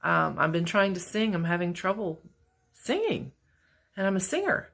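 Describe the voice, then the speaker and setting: A middle-aged woman with a raspy voice, talking candidly and a bit ramblingly in a casual personal vlog from her office at work. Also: lowish voice, sing-song, normal speed, fairly bright tone. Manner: candid, rambling, self-deprecating. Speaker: vlogger.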